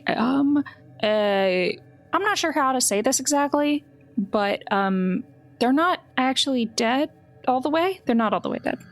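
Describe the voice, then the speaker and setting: A woman playing a tabletop roleplaying game, speaking in character in a halting, improvised way as she searches for words. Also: high voice, animated, faint music behind.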